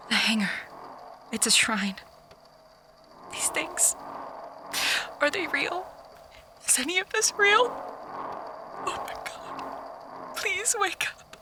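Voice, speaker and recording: A woman playing a frightened, bewildered woman in an audio drama, halting and pleading, slipping between whispers and cries. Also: high voice, big pitch swings, full character voice.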